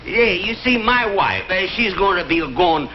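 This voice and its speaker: An actor playing an excitable, flirtatious Italian husband in a vintage TV comedy sketch, stammering in broken English with a mock Italian accent. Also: male voice, high-pitched, moderate pace, over music. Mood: flirtatious, flustered. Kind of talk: 1960s TV comedy sketch acting. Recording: muffled old TV audio, noisy studio audience.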